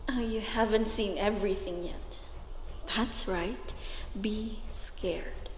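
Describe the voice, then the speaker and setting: Lady playing a guarded crime syndicate woman, slow n taunting, long echoey reverb. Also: medium pitch, close to an everyday voice. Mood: defiant, taunting. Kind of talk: audio roleplay drama acting. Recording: echoey hall reverb, faint noise.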